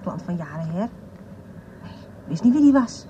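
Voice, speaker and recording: An actress in a radio drama playing a plain-spoken waitress giving a clipped, offhand interview. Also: female voice, on the low side, big pitch swings, a little slow, dark tone. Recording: muffled location recording with noisy ambience.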